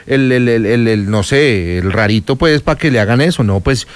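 A man co-hosting a live stream, animated and playful. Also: medium pitch, normal speed, clear, resonant tone, no echo. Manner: animated, playful, repeats names. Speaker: co-host. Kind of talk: casual live stream chat.

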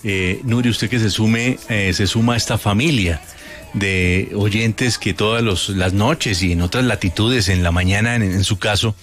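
A man's voice: fairly low pitch, steady tone, a little slow, fairly bright tone, faint music behind. A Colombian man hosting a late-night radio show, playful and hesitant with many fillers. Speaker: radio host.

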